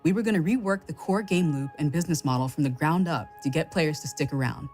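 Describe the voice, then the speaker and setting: A woman with a distinctly low voice reads a formal, scripted company announcement. Her delivery is measured and orderly, with soft music in the background.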